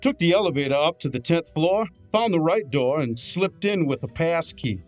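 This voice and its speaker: Man acting a brash, lively radio gumshoe. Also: fairly high pitch, moderate pace, soft background music, close to an everyday voice. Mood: matter-of-fact. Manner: exasperated, sardonic, hard-boiled. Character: brash, wisecracking male private detective's assistant. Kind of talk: old-time radio detective drama acting.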